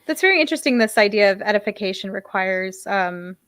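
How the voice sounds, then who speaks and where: A woman's voice: medium pitch, animated, brisk, a bit bright, no room sound. A woman hosting a podcast, talking in a chatty, self-deprecating way with many fillers. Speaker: podcast host. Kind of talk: casual podcast conversation.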